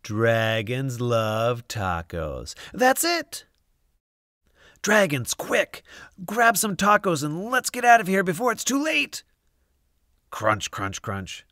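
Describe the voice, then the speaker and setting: A man reading a children's picture book aloud, expressive and theatrical, with dramatic drawn-out emphasis. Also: fairly high pitch, no room sound, clean studio sound. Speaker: storybook reader.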